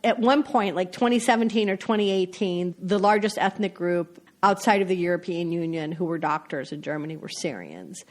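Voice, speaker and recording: A woman's voice: on the low side, fairly quick, few pauses. A middle-aged woman, a foreign correspondent, chatting casually as a podcast guest and laughing often between her points. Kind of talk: casual interview chat.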